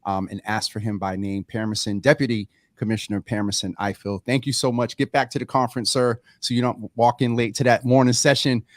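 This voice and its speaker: Host with a dry close sound. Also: male voice, mid-range voice, level tone, brisk, hardly any pauses, no room sound. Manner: warm, familiar, many fillers. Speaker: talk show host. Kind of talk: casual live talk show.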